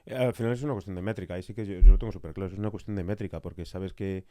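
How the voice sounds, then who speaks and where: A male podcast host with a deep, low voice and a Spanish accent from Spain, captured very dry. He explains in a conversational, teacherly way, often tacking on a quick question to check he is followed. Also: steady tone, warm tone, no echo. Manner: didactic, checks in with short tag questions.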